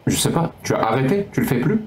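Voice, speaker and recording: A man hosting a live call-in debate stream, teasing callers and cutting in quickly. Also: fairly high pitch, very animated. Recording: roomy with faint noise.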